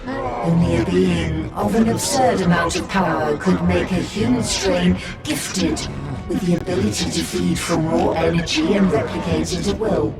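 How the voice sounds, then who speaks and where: Gravelly hive-monster villain, super polite and creepy, echoey and far off over loud game music. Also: male voice, sits high, moderate pace. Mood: menacing calm. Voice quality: gravelly, speaks as "we". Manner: formal, courteous, menacing. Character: monstrous collective male abomination, coldly polite. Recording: echoey, distant, loud score behind.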